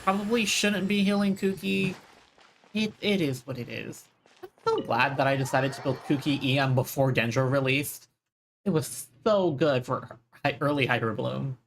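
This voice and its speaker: Low-voiced woman streaming a game. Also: moderate pace, gravelly, slight background noise. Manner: informal, reactive. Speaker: live streamer. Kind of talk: casual game stream commentary.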